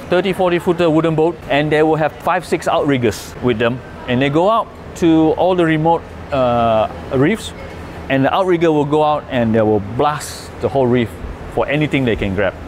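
Middle-aged male presenter, slightly high voice, halting and plain-spoken, faint hiss behind. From Malaysia. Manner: hesitant, restarts often, plain explanatory. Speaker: conservation speaker. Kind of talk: presentation with audience Q&A.

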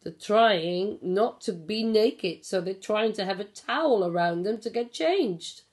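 A middle-aged woman reading a picture book aloud to young children, explaining and asking simple questions in an expressive way. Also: mid-range voice, unhurried. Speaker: pre-school storyteller. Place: small living room at home. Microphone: phone or tablet at about arm's length.

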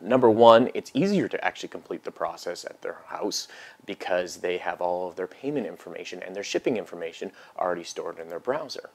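A man in his thirties teaching an online course, explaining step by step in a conversational way with frequent fillers, recorded on a lapel mic in a small home studio. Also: low voice. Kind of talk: casual tutorial explanation.

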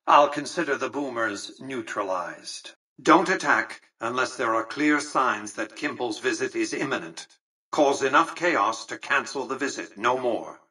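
An actor playing a cold, calculating, arrogant old tycoon in a video game, speaking with formal, condescending precision. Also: male voice, medium pitch, pushed and bright, husky, distant mic, exaggerated voice, poor audio. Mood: stern, haughty. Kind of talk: scripted video game character dialogue.

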